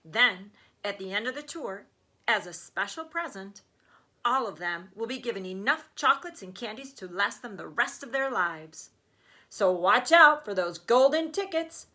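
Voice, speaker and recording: An eccentric storybook candy maker makes a grand announcement, read by a woman. The delivery is bright and emphatic, with big lively rises in pitch. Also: normal speed. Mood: grand, inviting. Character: eccentric male candy-making genius announcing a contest.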